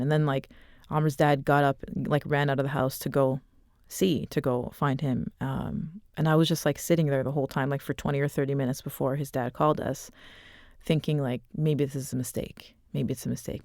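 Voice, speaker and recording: Woman in her thirties, interview guest, gentle and halting, dry close sound. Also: low-pitched, dry recording. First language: not Arabic. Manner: soft, reflective, halting with many fillers. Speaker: author and podcast guest. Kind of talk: personal story in an interview.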